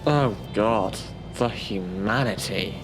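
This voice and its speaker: A man's voice: fairly low pitch, slow, over music, poor audio. A man playing a tired, self-deprecating call-centre worker who banters drily in an audio drama. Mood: wry. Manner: dry, grumbling banter. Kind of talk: naturalistic audio drama acting.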